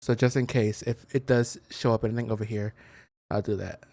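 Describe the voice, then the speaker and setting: A man's voice: fairly low pitch, steady tone, a little fast, mellow, no echo. A man doing casual let's play commentary, explaining things in a hesitant, rambling way into a close mic. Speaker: gaming content creator.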